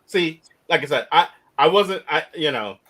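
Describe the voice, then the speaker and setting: A man co-hosting a casual chat over a video call, animated and incredulous, often cutting himself off. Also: a little high, normal speed. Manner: animated, incredulous, self-interrupting. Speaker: podcast co-host.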